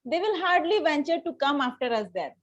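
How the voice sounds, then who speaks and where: Woman playing a high-voiced haughty young Victorian lady. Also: very animated, brisk, dull audio. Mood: formal, wounded. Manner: formal, imperious, precise. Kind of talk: amateur table read of a stage comedy.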